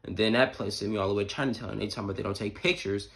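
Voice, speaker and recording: A young American man around twenty with a fairly low-pitched voice talks to the camera. He speaks like a casual vlogger, heavy on slang and emphasis, often repeating phrases to drive them home. He is recorded in a small room at home at arm's length. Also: racing, vocal fry, fairly bright tone. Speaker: YouTube vlogger. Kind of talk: casual excited vlog chat to camera. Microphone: phone or camera at arm's length.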